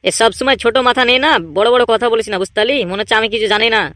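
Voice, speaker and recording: Man voicing a high, rapid-fire bossy gang leader. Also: fairly even intonation, pushed and bright, dry recording, clean studio sound. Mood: bossy, scolding. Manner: scolding, emphatic, repetitive. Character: bossy, scheming, irritable male village gang boss. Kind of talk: exaggerated comedy cartoon dialogue.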